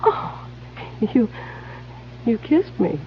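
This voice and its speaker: A woman's voice: on the high side, sing-song, very slow, a little hiss or hum, exaggerated voice. An actress playing a romantic, anxious woman confiding in her lover in a 1970s radio mystery drama. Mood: flirtatious, tender. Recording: muffled, humming old radio broadcast recording.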